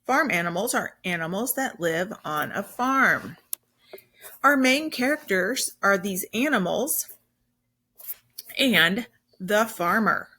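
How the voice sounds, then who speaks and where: A woman's voice: mid-range voice, lively intonation, slow pace, long pauses, sharp tone, slightly rough. A woman teacher reading a children's story aloud, explaining simply and with emphasis as she goes.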